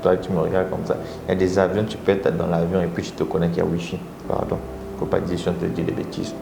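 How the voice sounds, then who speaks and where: A Congolese man with a Congolese French accent hosting a live motivational talk, coaxing his viewers and often jumping into high exclamations. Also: fast, gravelly. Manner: animated, coaxing audience, rising into high exclamations. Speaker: motivational speaker and live host. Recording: echoey room with audible background noise.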